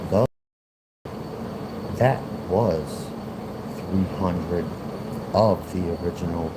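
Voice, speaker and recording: Man, 40s, Philadelphia accent, deep voice, rambling and argumentative, loud hum behind him. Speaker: livestream host. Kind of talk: rambling livestream monologue. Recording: loud background noise, air conditioner hum.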